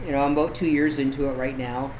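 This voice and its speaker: A man's voice: mid-range voice, little pitch movement, quick pace, darkish tone, poor audio. A man talking casually as a guest photographer, telling anecdotes in an informal, conversational way. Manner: informal, conversational, peppered with tag questions like "right?". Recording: clear background noise, room ambience.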